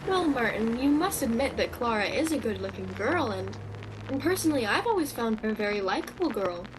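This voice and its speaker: A woman's voice: fairly high pitch, sing-song, brisk. Woman acting frank co-worker, noisy recording. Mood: frank, coaxing. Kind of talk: radio play acting. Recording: noisy, room ambience behind.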